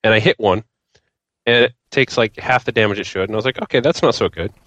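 A man's voice: fairly low pitch, fast talker, fairly bright tone, no room sound, dull audio. A man chatting casually about video games as a panelist on a podcast. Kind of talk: casual gamer chat.

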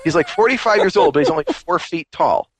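Animated sarcastic man ranting. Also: on the high side, fast. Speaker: podcast co-host. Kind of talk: comedic game review rant.

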